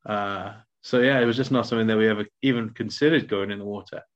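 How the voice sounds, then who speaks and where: A man's voice: lowish voice, fairly even intonation. A man from South Africa asking questions in a casual interview, often restarting his sentences. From Durban, South Africa. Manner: conversational, halting restarts. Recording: slightly dull lo-fi audio.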